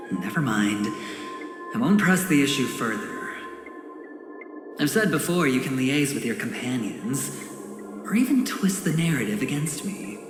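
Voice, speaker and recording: Game role, male actor: self-assured male schemer, smooth and teasing, slow-paced, in long echoing reverb with music behind. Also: medium pitch, close to an everyday voice. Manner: suave, teasing, theatrical phrasing. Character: smooth, theatrical male gambler-businessman, sly and self-assured. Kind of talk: scripted video game character dialogue. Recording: long echoey reverb under clear music.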